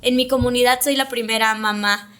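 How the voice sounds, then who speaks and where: Woman, young adult, Maya speaker, hesitant, reflective, fairly high pitch. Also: fairly even intonation, brisk, a bit bright. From Mayapán, Yucatán, Mexico. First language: Yucatec Maya. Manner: reflective, hesitant, many fillers. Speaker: guest, communicator. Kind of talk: casual interview conversation.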